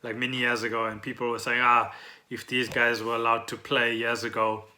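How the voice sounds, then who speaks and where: A South African man chats as a podcast co-host. His voice is strikingly bright and forceful, at an ordinary male pitch. He explains things informally, as if to a friend. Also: little pitch movement, a little fast. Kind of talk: casual chat about trending news.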